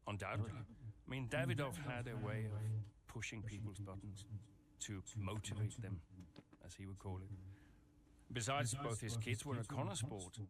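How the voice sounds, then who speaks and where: An actor playing a pragmatic male racing team boss, speaking in a measured, matter-of-fact way in a game cutscene. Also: lowish voice, over a noisy crowd, natural voice. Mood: reflective. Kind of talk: cinematic racing game dialogue.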